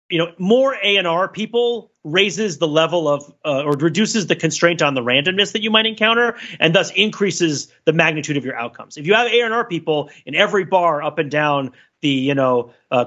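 Bright-voiced man, discursive podcast talker. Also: fairly high pitch, muffled recording. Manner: discursive, halting, checks in with "right?". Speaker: podcast host. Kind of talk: casual analytical discussion.

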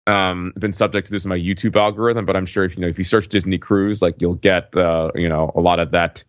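Middle-aged male panelist, deep voice, hesitant casual storyteller, close dry but slightly muffled sound. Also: fairly even intonation, fairly quick, dry recording. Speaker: podcast panelist.

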